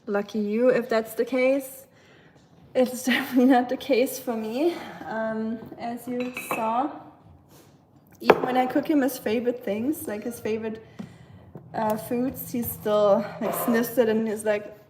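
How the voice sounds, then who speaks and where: A woman's voice: fairly high pitch, fairly even intonation, unhurried, noisy background. Chatty German-accented woman with a light voice. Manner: chatty and giggly, coos at her dog. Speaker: livestreamer. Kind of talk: casual livestream chat with viewers. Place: home kitchen.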